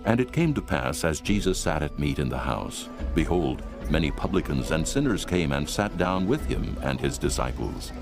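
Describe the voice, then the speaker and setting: A man's voice: extremely low pitch, very animated, moderate pace, hardly any pauses, over music. A man narrating a dramatized Bible in a solemn, dramatic storytelling manner.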